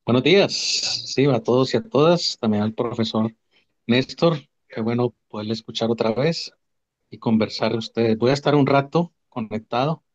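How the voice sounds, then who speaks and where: A man who teaches Bible and theology, introducing himself cordially and in measured speech on a video call. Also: medium pitch. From Colombia. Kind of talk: casual self-introduction. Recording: dull lo-fi video call audio.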